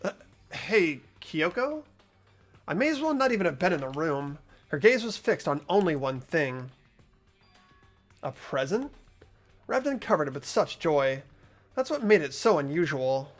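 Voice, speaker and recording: A man playing an earnest, hesitant, anxious high school boy in an amateur video game dub, narrating and speaking in character. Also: very animated, a little slow, long pauses. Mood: tense. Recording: music behind the voice.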